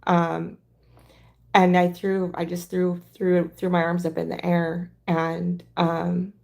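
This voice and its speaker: A hoarse woman hosting a casual crafting livestream, talking in a halting, rambling way. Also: on the low side, monotone, a little mellow.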